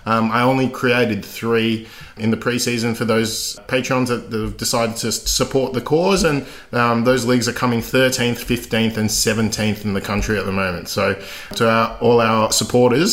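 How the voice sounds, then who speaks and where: A man's voice: mid-range voice, a bit bright. An Australian man hosting a sports podcast, chatty and upbeat, laughing as he talks. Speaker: podcast host. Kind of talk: casual podcast chat.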